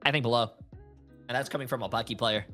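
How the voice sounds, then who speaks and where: A man's voice: medium pitch, quick pace, bright, forceful tone, faint music behind. A man in his early thirties chatting casually about gaming, loose and slangy, with frequent swearing. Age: early 30s. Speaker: guest player ranking game characters.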